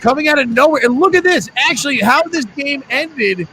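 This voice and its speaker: A man's voice: very high-pitched, lively intonation, brisk. A man hosting a live trivia game, joking with players and rambling through sponsor reads.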